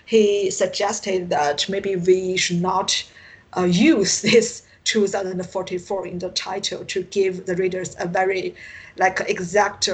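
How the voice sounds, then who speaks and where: A woman from China with a Chinese accent, a native Mandarin speaker, answering interview questions haltingly over an internet call and often searching for words. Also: lowish voice, flat delivery, rough recording. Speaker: science fiction author, interview guest. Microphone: Skype call.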